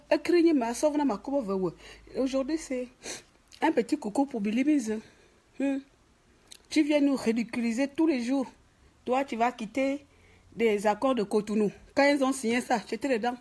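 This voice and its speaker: A woman's voice: high-pitched, moderate pace, darkish tone. A woman from Gabon with a Gabonese French accent, scolding and mocking in an emphatic political rant. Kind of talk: ranting political commentary to camera. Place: office.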